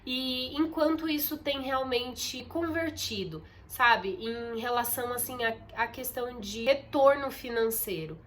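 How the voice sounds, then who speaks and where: A woman's voice: fairly high pitch, a little slow, few pauses, a little hiss or hum. A young woman talking to her phone camera in a casual, confiding vlog, her voice muffled and nasal from a stuffy nose. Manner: chatty, confiding, addresses viewers as "gente". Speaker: content creator. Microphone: phone on a ring light.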